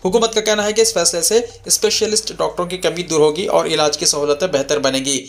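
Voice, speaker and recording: Higher-pitched male news reader. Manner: formal. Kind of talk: scripted news bulletin narration.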